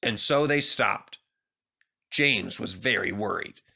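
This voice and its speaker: A man's voice: on the low side, takes it slowly, vocal fry, bright, forceful tone. A man narrating a scripted children's story expressively as a storybook reader.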